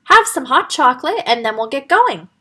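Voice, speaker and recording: A woman playing a booming, bossy storybook wolf. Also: big pitch swings, normal speed, pushed and bright, heavily acted. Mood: blustering. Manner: booming and firm. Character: big bossy male wolf, blustering but good-hearted.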